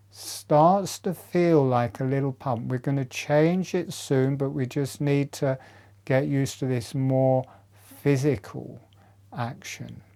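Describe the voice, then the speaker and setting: A man with a mellow, relaxed voice of medium pitch guides a breathing meditation. He speaks extremely slowly, in short measured phrases, leaving long pauses between them as an instructor would.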